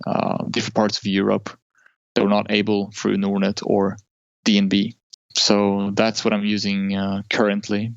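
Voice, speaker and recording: A man from Norway talks as an interview guest, with a lowish, gravelly voice and frequent fillers and restarts. The sound is dull and muffled. The recording is close and dry. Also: dry recording. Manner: hesitant, many fillers and restarts. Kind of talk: casual interview talk.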